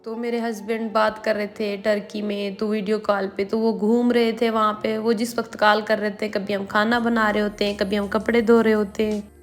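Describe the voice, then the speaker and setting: Housewife vlogger, 30s, Pakistani accent, cold-roughened voice, talky and unhurried, speaks on a flat pitch. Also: female voice, faint music behind. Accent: Pakistani Urdu. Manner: chatty, rambling, frequent fillers. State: hoarse with a cold. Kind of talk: casual home vlog chat. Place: at home, indoors.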